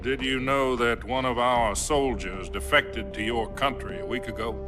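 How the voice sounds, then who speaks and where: An actor playing a sober, formal American president in a cinematic video game, measured and diplomatic. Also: male voice, medium pitch, steady tone, over music, lightly acted. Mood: sober, regretful.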